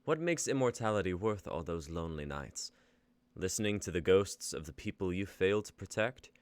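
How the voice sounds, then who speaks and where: Cold, taunting young warrior read by a man, lowish voice, very dry sound. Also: moderate pace, no echo. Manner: calm, taunting. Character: cold battle-hardened young male warrior, menacing. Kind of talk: live dramatic script reading.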